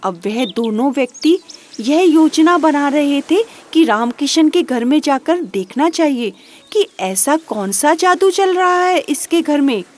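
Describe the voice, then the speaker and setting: A woman's voice: high voice, lively intonation, measured pace, darkish tone. A woman narrating a children's moral story in a simple storytelling manner.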